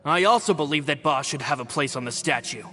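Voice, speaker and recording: A man's voice: on the high side, lively intonation, a little fast, lightly acted. An actor voicing a loyal, formal young male assistant in a game cutscene, speaking politely and respectfully. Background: game soundtrack music.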